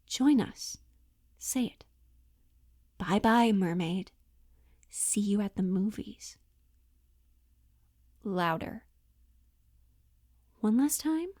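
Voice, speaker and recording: A woman's voice: on the high side, darkish tone, no room sound, studio quality. A woman playing a pushy, falsely upbeat Hollywood talent agent in a comedic monologue, coaxing, flippant and over-reassuring. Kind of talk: dramatic audition monologue, comedic.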